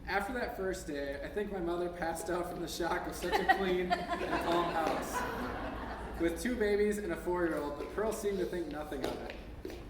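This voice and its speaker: A man's voice: high voice, animated, normal speed. An American man in his thirties reading an essay aloud to a gathering in an informal way, now and then stumbling over his words. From United States, Minnesota. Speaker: speaker at a memorial service. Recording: echoey hall from far off, noisy.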